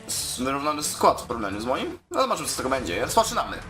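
A man commentating casually on his own video game play in an excitable, informal way, with speech. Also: medium pitch, very fast, music in the background. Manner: excitable, exclaiming, informal with swearing. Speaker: gaming YouTuber.

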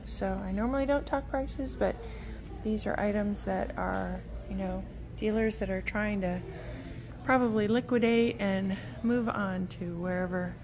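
A woman vlogging a casual walk-through of a large indoor antique mall, talking in a meandering, unhurried way with lots of fillers. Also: mid-range voice. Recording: phone audio with faint store music. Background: store music playing faintly.

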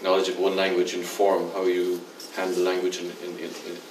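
A deep-voiced older man moderates a panel on stage, restarting his questions as he goes. The sound comes from a distant mic in a reverberant hall with a steady noise floor. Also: fairly quick. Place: stage in a dim theatre hall. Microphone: far camera. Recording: echoey hall from far off.

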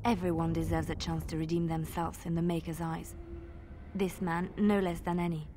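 Woman playing pious gentle companion, fantasy game, slightly low, dark soft voice, earnest. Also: flat delivery, normal speed, slight background noise, natural voice. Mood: earnest, compassionate. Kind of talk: video game voice acting.

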